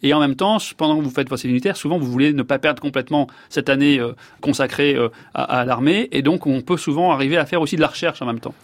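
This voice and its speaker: A man's voice: medium pitch, quick pace, few pauses, no echo. A man in his fifties, a neurologist guest, giving long reflective answers with many fillers and restarts. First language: French.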